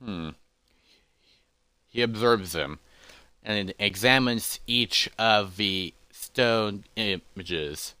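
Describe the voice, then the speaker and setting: Slow, deep-voiced man narrating fantasy. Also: pushed and bright, smooth, no echo. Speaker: storyteller narrating a character's adventure. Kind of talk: improvised third-person fantasy narration.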